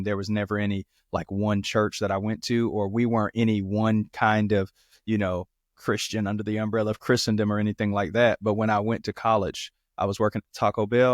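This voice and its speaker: Flat-voiced preacher telling his story. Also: fairly low pitch, no echo, clean studio sound. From Florida. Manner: relaxed storytelling, repeats himself. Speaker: preacher, guest. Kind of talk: casual interview over video call.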